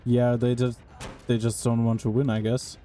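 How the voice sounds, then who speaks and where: Young male game streamer, mid-pitched and mellow, chatty and casual. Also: monotone, normal speed, faint music behind. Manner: puzzled, chatty, talks to chat. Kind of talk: casual live-stream commentary while playing.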